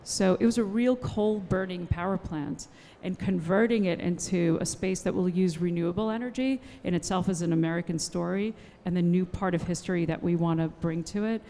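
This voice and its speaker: A woman's voice: lowish voice, fairly quick, dark tone, a little hiss or hum. A woman on a conference panel, answering an audience question and enthusiastically promoting her project. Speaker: panelist, developer. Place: conference hall. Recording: long hall reverb.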